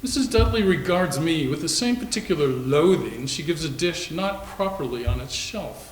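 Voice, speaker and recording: A flamboyant young gentleman, acted by a man declaims with playful mock grandeur in readers theater. His voice is high for a man and rings in an echoey room. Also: lively intonation, moderate pace, slight background noise, close to an everyday voice.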